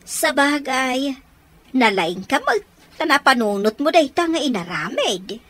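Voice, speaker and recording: An actress playing a talkative, outspoken confidante in a radio drama, with an Ilocano accent. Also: female voice, high-pitched, very animated, a little slow, fairly bright tone, exaggerated voice. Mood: teasing, curious.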